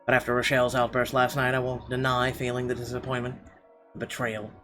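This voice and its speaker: A man's voice: medium pitch, completely flat delivery, a little fast, noisy background. A man doing casual gameplay commentary, reading story narration aloud and dropping blunt, slangy reactions. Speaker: let's player reading and reacting to a visual novel. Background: game music.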